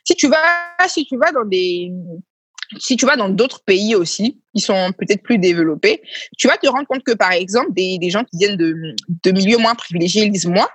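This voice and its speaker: A woman answering interview questions in a husky, breathy voice. She talks through her thoughts haltingly and repeats words as she searches for them. The sound is close and dry, with little room around it. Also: medium pitch, animated, no echo. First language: Senoufo heritage, speaks it only at a basic level. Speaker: guest, children's reading workshop organizer.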